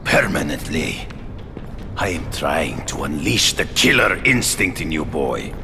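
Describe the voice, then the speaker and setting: An actor playing a stern, commanding male hunter in a video game, speaking slowly and gravely, lecturing. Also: big pitch swings, noisy background, full character voice. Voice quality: very deep, gravelly. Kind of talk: video game cutscene acting. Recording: game audio with music. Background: music.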